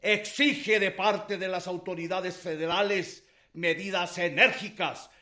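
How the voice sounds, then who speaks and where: High-voiced indignant deputy orating in echo. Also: male voice, fairly even intonation, unhurried, bright, forceful tone. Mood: indignant, solemn. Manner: formal, emphatic, oratorical. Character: indignant male congressional deputy making a speech. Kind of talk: declamatory political oratory in radio drama. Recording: echoey chamber sound.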